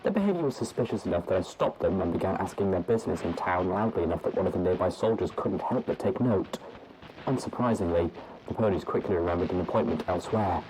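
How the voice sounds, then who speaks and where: Deep-voiced man reading a story aloud. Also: animated, noisy background. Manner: measured, formal journal reading. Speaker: audiobook narrator. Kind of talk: scripted narration of a fan fiction.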